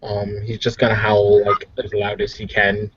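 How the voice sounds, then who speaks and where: A man's voice: lowish voice, monotone, moderate pace, fairly bright tone, husky. A man playing a tabletop game, chatting casually and hesitantly as he thinks through his character's actions aloud. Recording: dull lo-fi voice recording.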